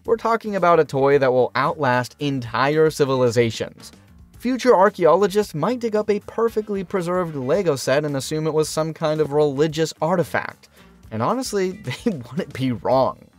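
A man's voice: on the high side, animated, moderate pace. A man reading a scripted countdown narration with wry, punchy, sarcastic asides. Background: faint background music.

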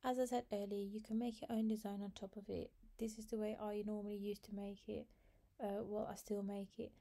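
Chatty woman with a dark, gravelly voice. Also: mid-range voice, level tone, fairly quick. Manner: chatty, talks viewers through steps with fillers. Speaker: cooking channel host. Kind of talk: casual voice-over cooking demo.

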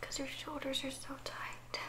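A woman plays a caring girlfriend in an intimate ASMR roleplay, whispering close to the mic. Her voice is high and very even, with a soft, soothing and gently teasing manner. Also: unhurried. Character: affectionate, gently teasing young girlfriend giving a massage.

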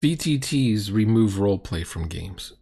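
Middle-aged male commentator, husky low voice, exasperated and sarcastic, critiquing off the cuff. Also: lively intonation, unhurried, dark tone. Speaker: reaction video host critiquing a role-playing session.

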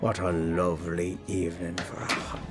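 A man voicing a jovial, roguish werewolf pirate captain in a hearty, teasing audio roleplay, with a stage pirate accent and drawn-out words. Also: sits low, monotone, over music, lightly acted.

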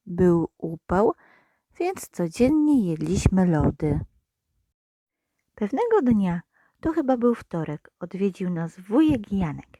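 A woman narrating a children's audio story in a slow, expressive reading voice with lively rises and falls. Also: medium pitch, dry recording.

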